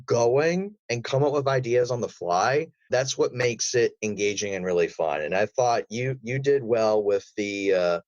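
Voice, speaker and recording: A man's voice: mid-range voice, animated, unhurried, hardly any pauses, darkish tone, dry recording, highs cut off. A man chatting casually as a podcast guest and voice actor. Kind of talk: casual chat.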